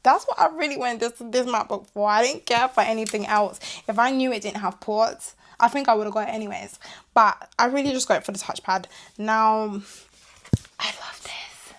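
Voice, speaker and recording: Chatty young woman, a little hoarse. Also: fairly high pitch, moderate pace. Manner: chatty and animated, drifting into asides. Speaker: vlogger reviewing her tech purchases. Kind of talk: casual chat to camera.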